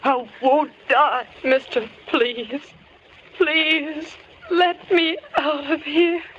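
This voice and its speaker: Desperate, stammering young woman held captive, high voice, melodramatic radio horror, dull noisy vintage recording. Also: steady tone, very slow, fairly bright tone, full character voice. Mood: desperate terror. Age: young adult. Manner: breathless, stammering, desperate pleading. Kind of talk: 1940s radio horror acting.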